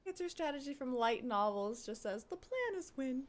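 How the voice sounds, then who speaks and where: A woman's voice: high-pitched, very animated, normal speed. A woman streamer giving casual, playful live commentary on a game, reacting and teasing as she goes.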